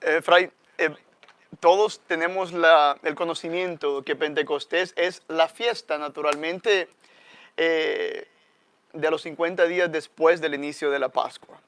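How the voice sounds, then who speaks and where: Clear, higher-voiced Salvadoran man teaching. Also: steady tone, unhurried, a bit bright, no echo. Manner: reflective, often adds a questioning "¿no?". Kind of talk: conversational religious teaching.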